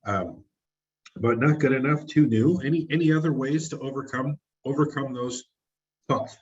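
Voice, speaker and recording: A man's voice: medium pitch, moderate pace, darkish tone, husky, muffled recording. A man leading a real estate training session, encouraging people and asking the group questions. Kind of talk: coaching a group discussion.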